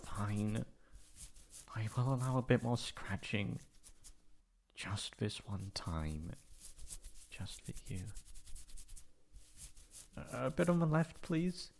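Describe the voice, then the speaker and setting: A man playing a prickly young catboy in an ASMR roleplay, haughty but secretly soft-hearted and stammering when flustered. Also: medium pitch, expressive, takes it slowly, vocal fry, long pauses, slight background noise. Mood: grudgingly pleased.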